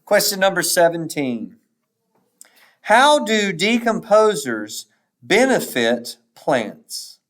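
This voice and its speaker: Male test reader, mid-pitched, extremely unhurried and deliberate, pausing between answer options, varied intonation. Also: fairly bright tone. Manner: measured, pausing after each option letter. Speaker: teacher reading a test aloud. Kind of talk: slow, deliberate read-aloud of quiz questions and answer choices.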